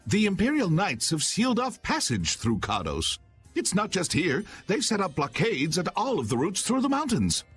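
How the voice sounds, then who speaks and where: A man's voice: sits high, huge pitch swings, moderate pace, fairly bright tone, soft background music, exaggerated voice. A man playing an obliging but put-out traveling merchant in a video game, earnestly explaining things. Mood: informative. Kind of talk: video game cutscene voice acting.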